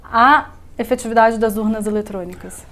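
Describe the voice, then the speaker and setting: A woman's voice: on the high side, moderate pace, a bit bright. A woman journalist with a Brazilian accent, asking formal, persistent interview questions.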